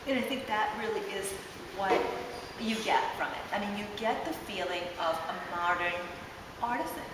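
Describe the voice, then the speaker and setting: A woman's voice: medium pitch, normal speed, distant mic. Gushing woman host in an echoey, noisy hall. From Ecuador. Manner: enthusiastic, gushing. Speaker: host presenting a fashion line. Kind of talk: casual, chatty product interview.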